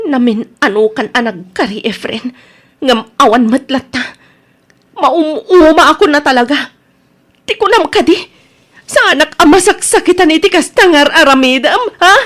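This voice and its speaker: Radio drama: stern, aggrieved wife and worried mother, high-pitched, reproachful. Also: moderate pace, fairly bright tone. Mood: stern, hurt. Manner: firm, reproachful.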